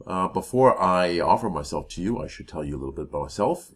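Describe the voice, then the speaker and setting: Deep-voiced man introducing himself plainly. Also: animated. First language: English. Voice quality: deep voice, clear pronunciation. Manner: measured, with occasional hesitations. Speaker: voiceover artist. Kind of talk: self-introduction demo reel.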